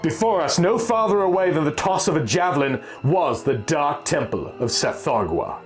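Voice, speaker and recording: A man reading a first-person pulp horror story as a narrator, in a formal, measured, dramatic literary style. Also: on the high side, animated. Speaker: audiobook narrator. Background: music.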